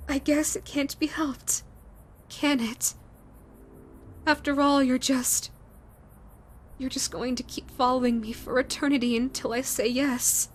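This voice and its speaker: A woman voicing a shy, flustered female ghost in a romantic audio roleplay, stammering and halting, tender and full of self-doubt. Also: sits high. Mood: shy, yielding. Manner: stammering, hesitant, halting with repeated words.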